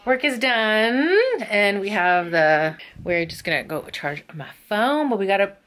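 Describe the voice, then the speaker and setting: A woman in her thirties chatting excitedly to camera while doing her makeup in a small bedroom, drifting into soft asides. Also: medium pitch, measured pace. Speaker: vlogger. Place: small bedroom at home, indoors. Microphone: camera close in front of her.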